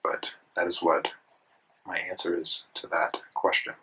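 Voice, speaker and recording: A man's voice: low voice, level tone, unhurried, sharp tone, a little hiss or hum, rough recording. A man in his twenties talking casually while he draws, rambling and correcting himself as he thinks aloud. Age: 20s. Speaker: art channel host. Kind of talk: casual talk while drawing. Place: indoors at a desk, drawing in a sketchbook.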